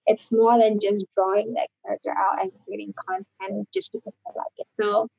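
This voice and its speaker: A woman's voice: medium pitch, very animated, normal speed, vocal fry, darkish tone, breathy edge, no echo, muffled recording. A woman from Indonesia, a guest talking casually over a video call, rambling with many fillers and restarts.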